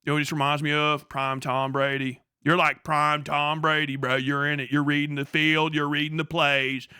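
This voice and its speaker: A man's voice: a little high, moderate pace, clean tone, no room sound, clean studio sound, pitched below the performer's own voice. A man voicing a dazed, sycophantic stoner bro, slangy and drawling as he hypes up his friend in a comic rant. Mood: awed, flattering. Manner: slangy, awed, drawling flattery.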